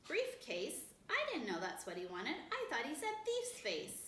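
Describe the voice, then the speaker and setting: A sly young girl, voiced by a woman storyteller plays innocent in a lively, high voice. The tone is bright. The sound is roomy and set back from the mic. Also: unhurried, slight background noise, heavily acted.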